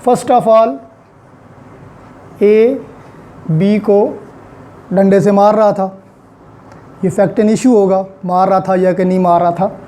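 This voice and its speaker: A woman's voice: mid-range voice, fairly even intonation, takes it slowly, long pauses, dark tone. A woman with a Pakistani accent giving an explanatory lecture, going step by step in a didactic way and mixing in English technical terms. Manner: code-switches between Urdu and English legal terms. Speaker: law lecturer.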